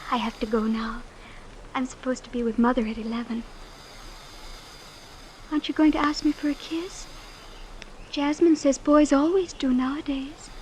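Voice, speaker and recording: A woman's voice: high-pitched, fairly even intonation, normal speed, pauses often, slight background noise, natural voice. A woman playing a self-assured, sheltered teenage rich girl with artless candor, in old-time radio drama style. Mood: coyly romantic. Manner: artless, matter-of-fact candor.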